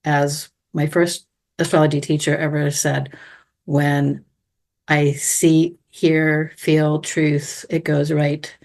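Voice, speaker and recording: A woman's voice: sits low, level tone, slow pace, darkish tone. A woman guest on a podcast, speaking in a thoughtful, meandering way and searching for words, over a remote call. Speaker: guest, astrology student. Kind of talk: conversational podcast interview.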